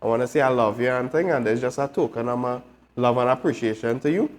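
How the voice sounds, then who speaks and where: A man's voice: medium pitch, fast talker. A man talking casually on a live talk show, telling personal stories and speaking about his faith. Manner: storytelling, laughing, faith-focused. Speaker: show regular sharing testimony.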